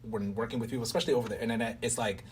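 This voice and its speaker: A man's voice: medium pitch, steady tone, rapid-fire. A man hosting a casual interview in slangy, effusive, thankful speech. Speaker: interviewer and radio play creator. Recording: distant mic, faint background noise.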